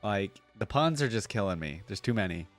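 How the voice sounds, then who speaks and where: A woman hosts a casual reaction video in a very low voice. She makes informal asides and repeats lines back, with music faintly playing behind her. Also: expressive, brisk.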